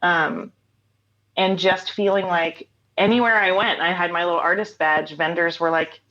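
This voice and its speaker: A woman's voice: on the low side, fairly even intonation, normal speed, pushed and bright, highs cut off. A woman in her forties, a singer-songwriter guest telling stories in a casual interview, laughing often. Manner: chatty, laughs often, storytelling.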